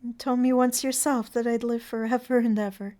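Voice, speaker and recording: A woman's voice: fairly high pitch, level tone, brisk, a little mellow, pitched above the performer's own voice, lightly acted. A woman voicing a frightened, dying young circus girl who pleads in a breathless, childlike way. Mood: dreamy.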